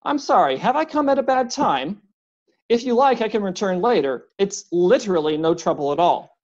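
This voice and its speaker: A woman's voice: mid-range voice, expressive, moderate pace, darkish tone, lo-fi sound, lightly acted. A woman reading a storybook voicing a big, polite, anxious male professor who keeps apologizing and pleading.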